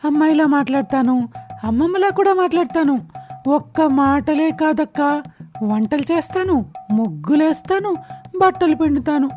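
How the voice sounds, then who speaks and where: A woman's voice: sits high, lively intonation, moderate pace. A woman voicing a chatty, boastful young man who talks in a girlish, chirpy way in a cartoon story. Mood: proudly chatty. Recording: dull lo-fi audio over music. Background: background music.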